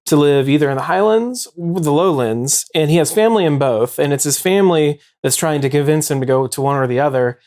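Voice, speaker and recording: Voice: mid-range voice, fairly quick, few pauses, a little mellow. A host talking casually about books in an explanatory way, often rephrasing mid-thought. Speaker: show host. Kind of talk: casual book discussion.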